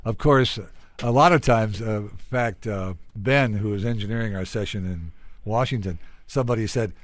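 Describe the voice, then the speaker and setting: A man's voice: fairly low pitch, moderate pace, dry recording. An American man hosting a casual comedy interview, talkative and joking. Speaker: interviewer and host.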